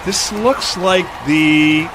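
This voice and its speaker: A man's voice: high voice, drawn-out words, a loud crowd. A man co-hosting a radio broadcast with casual, joking live parade commentary. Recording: clear outdoor background noise.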